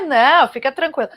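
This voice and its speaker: A woman's voice: high voice, a bit bright, a little hiss or hum. A Brazilian woman hosting and interviewing in a conversational style on a live arts programme.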